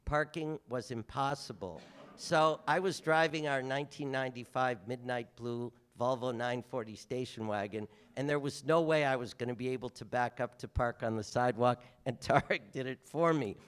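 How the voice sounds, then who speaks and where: A man's voice: mid-range voice, normal speed, smooth. A man hosting a stage conversation, introducing his guest with an affectionate anecdote. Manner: storytelling, affectionate. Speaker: host, museum director.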